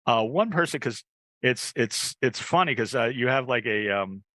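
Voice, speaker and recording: A man's voice: medium pitch, expressive, normal speed, fairly bright tone, dry recording. A man hosting a podcast, chatting casually as he interviews a guest. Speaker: podcast host.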